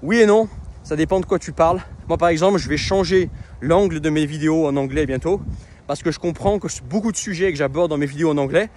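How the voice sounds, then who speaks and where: A man speaks casually to the camera in a slightly higher voice, pausing often on fillers and restarting his sentences. He is outside, with faint street and traffic noise behind him. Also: a little mellow. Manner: conversational, many fillers and restarts. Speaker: web entrepreneur and coach. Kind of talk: casual vlog monologue.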